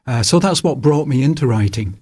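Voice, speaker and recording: A man's voice: mid-range voice, fairly quick, slightly rough, no echo. Older Manchester-accented man, dry studio sound. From England. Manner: relaxed and rambling, with many fillers. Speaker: author guest. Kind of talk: radio interview. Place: radio studio.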